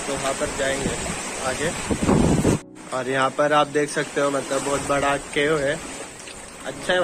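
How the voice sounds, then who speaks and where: A man's voice: on the high side, heavy background noise. A young man, narrating a casual walking vlog outdoors on a rocky seashore, filling his talk with "matlab". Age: young adult. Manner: chatty, leans on filler "matlab". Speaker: vlogger. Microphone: phone camera held at arm's length. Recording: loud music bed under voice.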